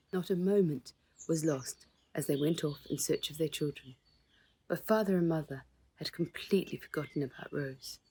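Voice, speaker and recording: A woman reading her own children's story aloud in a measured way, with dramatic stresses in the dialogue. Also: low voice, mellow, breathy edge. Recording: noticeable background noise.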